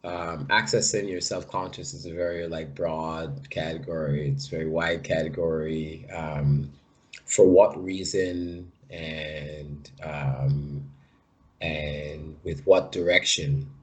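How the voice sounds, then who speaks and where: A man from Guyana, a shaman guest in a podcast interview, speaking hesitantly with many pauses and fillers. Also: extremely low pitch, flat delivery. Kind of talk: casual interview.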